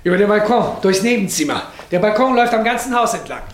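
A man's voice: sits high, animated, fast, reverberant, a little hiss or hum. A man playing a fussy, discreet hotel porter in a 1960s radio drama, formal, servile and anxious. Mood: flustered, urgent.

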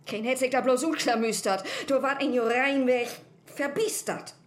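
A woman playing a muddled, bossy witch in a comic radio play, muttering theatrical rhymes with a Low German accent. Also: steady tone, normal speed, natural voice. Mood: confused, flustered. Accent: Low German, northern Germany. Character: muddled, bossy witch chanting jumbled number spells.

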